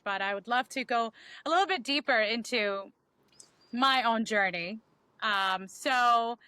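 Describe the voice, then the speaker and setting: A woman's voice: fairly high pitch, pushed and bright, clean tone, no echo, low-quality recording. A woman, a podcast guest candidly telling her life story in a reflective way with many ums. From Bangladesh. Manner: reflective, frequent um fillers.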